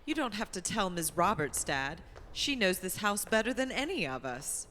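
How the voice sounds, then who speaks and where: A woman's voice: medium pitch, big pitch swings, moderate pace, bright, forceful tone. A young woman playing a spirited, wry daughter in a radio play, with a proper theatrical delivery. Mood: wry, curious. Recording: echoey hall, faint background hiss.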